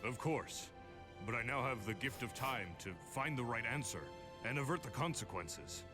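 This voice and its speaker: An actor playing a troubled, brooding king in an animated fairy tale, grave and formal. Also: medium pitch, normal speed, lightly acted. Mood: resolute. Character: troubled, brooding king burdened by dire visions. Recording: music behind the voice.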